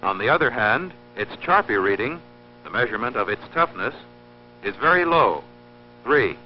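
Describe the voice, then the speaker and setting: Lo-fi film soundtrack with faint hum, male narrator, mid-pitched voice, formal, didactic, measured scripted delivery. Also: big pitch swings. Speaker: narrator of an industrial training film. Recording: dull lo-fi old film soundtrack, humming.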